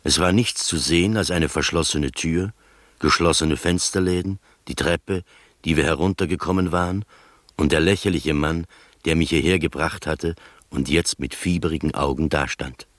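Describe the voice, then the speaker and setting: An actor in a radio drama playing a skeptical gentleman who narrates his uncanny adventure in a measured, literary manner. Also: very deep voice, dry recording, studio quality. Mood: measured, suspenseful.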